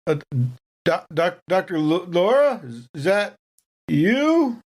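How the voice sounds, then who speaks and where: A man voicing a nervous rifleman trying to keep calm in a role-playing game, halting and repeating his words. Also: sits high, pitched above the performer's own voice, heavily acted. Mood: uneasy disbelief.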